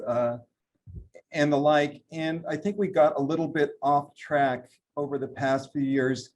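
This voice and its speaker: A man, a brand president, giving a trade interview and running through product lines with steady talk and frequent fillers. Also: mid-range voice, a little hiss or hum. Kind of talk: business interview, product rundown.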